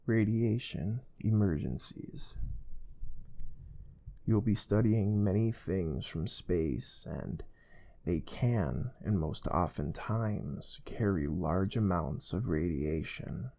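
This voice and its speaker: A man playing a calm, soft-spoken space research team interviewer in an ASMR role play, explaining things gently and without hurry. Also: sits low, gravelly, exaggerated voice. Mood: calm, instructive. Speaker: ASMR performer.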